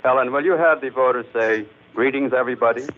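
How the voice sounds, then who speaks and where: A man's voice: mid-range voice, fairly quick. American male 1940s demonstration presenter, scripted delivery, thin narrow-band sound with faint hiss. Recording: muffled, hissy 1940s archive film.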